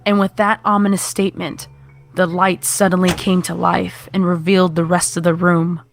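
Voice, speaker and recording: A woman's voice: fairly low pitch, little pitch movement, normal speed, gravelly. A woman reading scripted horror fiction as the narrator.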